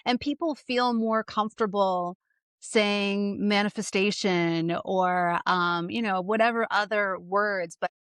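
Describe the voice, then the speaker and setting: A woman's voice: medium pitch, fairly even intonation, slow, fairly bright tone, clean tone, no room sound. A woman hosting a podcast, reading a scripted introduction and then chatting with enthusiasm as an interviewer. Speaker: podcast host.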